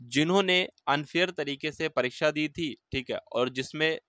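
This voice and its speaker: A man with a slightly high voice explains things informally, like a coach to exam candidates. He repeats key points, poses quick questions and answers them himself. The recording is dry, with no room sound. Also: sharp tone, no echo. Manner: repeats points, asks and answers own questions, checking in often. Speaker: exam-news presenter and coach.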